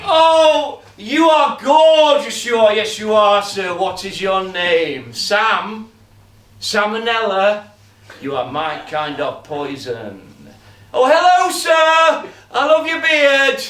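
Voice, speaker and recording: Brash pantomime dame, high and bright, cheeky and flirty, heard from across a roomy space. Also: male voice, very animated, slow pace, full character voice. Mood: cheeky flirting. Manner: flirty, cheeky, teasing the audience. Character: bawdy brash pantomime dame, ugly sister. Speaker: stage performer. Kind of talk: pantomime stage comedy. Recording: roomy and distant.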